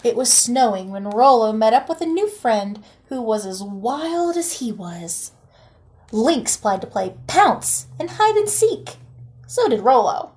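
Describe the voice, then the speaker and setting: A woman teacher reads a picture book aloud to children. Her voice sits a little high for a woman. Her storytelling is lively and expressive, rising on the exciting parts. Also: a little slow.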